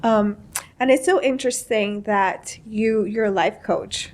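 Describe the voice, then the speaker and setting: A woman's voice: mid-range voice, measured pace. A woman hosting a podcast interview, speaking casually and informally in an encouraging way. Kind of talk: casual supportive interview chat.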